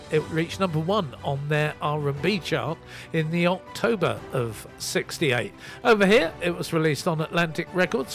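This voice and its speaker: A man hosting a casual soul music radio show, chatty and self-mocking as he reads out record details. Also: fairly high pitch. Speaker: radio host and DJ.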